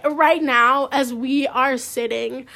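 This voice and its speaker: High-voiced giggly young woman. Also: steady tone, slow, vocal fry, bright, forceful tone. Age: young adult. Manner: giggly, chatty, lots of "like". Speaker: podcast co-host. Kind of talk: casual chat. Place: bedroom.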